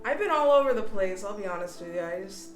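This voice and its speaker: A woman in her late thirties, co-hosting a podcast in casual chat, talking fast and often laughing. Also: medium pitch, animated, reverberant, soft background music. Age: late 30s. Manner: chatty, laughs often, acts out quotes. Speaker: podcast co-host.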